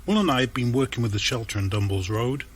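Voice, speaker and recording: Earnest, hesitant, husky-voiced resident. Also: male voice, medium pitch, lively intonation, fast talker. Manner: hesitant, apologetic. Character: earnest, hesitant charity-minded male resident. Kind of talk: audio drama acting.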